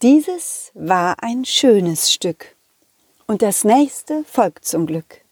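A woman's voice: medium pitch, big pitch swings, very slow, darkish tone, slightly rough, no room sound. A woman presenting a children's podcast in a scripted sign-off style. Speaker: puppeteer presenting own podcast.

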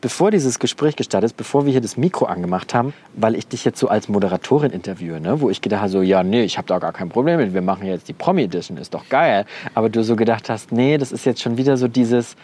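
A man's voice: lowish voice, animated, fast talker, few pauses, a little mellow. A man hosting a casual outdoor interview, joking and laughing easily as he asks questions. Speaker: podcast host, interviewer.

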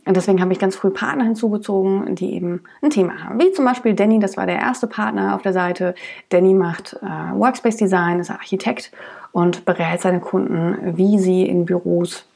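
A woman's voice: mid-range voice, vocal fry, darkish tone, breathy edge. A woman hosting her own podcast, talking alone in a chatty way with lots of ums, her nose stuffed up from a cold. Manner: chatty, many ums and restarts. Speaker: podcast host. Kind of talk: solo podcast monologue.

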